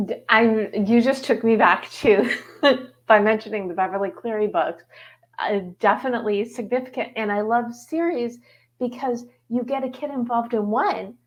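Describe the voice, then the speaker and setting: A woman's voice: on the high side, normal speed. Upbeat woman panel moderator. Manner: enthusiastic, stumbling restarts.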